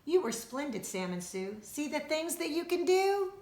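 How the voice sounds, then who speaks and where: A jolly, bossy handyman from a storybook, acted out by a woman, bouncing up and down in a highish voice. He coaxes and exclaims with chirpy energy, and the room echoes around the words. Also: big pitch swings, normal speed, a little mellow. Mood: encouraging. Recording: roomy, echoing indoor sound.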